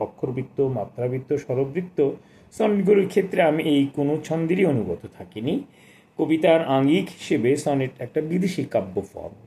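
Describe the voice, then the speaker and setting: Measured, mellow man discussing books. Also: medium pitch, a little hiss or hum. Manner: measured, deliberate, formal literary diction. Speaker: book reviewer and poetry reader. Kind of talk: literary book talk with read-aloud passages.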